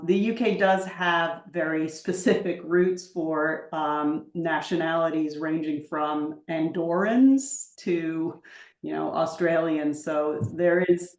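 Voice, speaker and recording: A woman's voice: sits low, a little mellow. A woman with a Washington DC accent, introducing her UK immigration advice work on a video call, chatty and enthusiastic with frequent ums. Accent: American, Washington DC. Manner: chatty, filler-heavy, enthusiastic. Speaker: UK immigration advisor. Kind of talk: webinar self-introduction. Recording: echoey room on video call.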